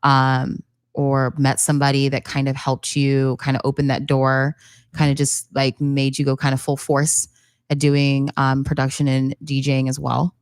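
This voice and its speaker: A woman's voice: a bass voice, monotone, moderate pace, smooth, no echo, clean studio sound. A woman co-hosting a radio show, upbeat and encouraging as she asks questions. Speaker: radio co-host. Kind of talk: casual interview chat.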